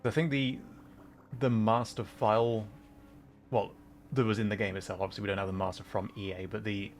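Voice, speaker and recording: A man hosting a gaming livestream, chatting casually and musing aloud in a halting way. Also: on the low side, little pitch movement, faint music behind. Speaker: livestream host.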